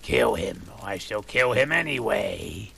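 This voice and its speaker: A man voicing an evil male dark lord who shouts threats in mock-archaic speech with a raspy voice. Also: lowish voice, expressive, unhurried, slight background noise, heavily acted. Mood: gloating.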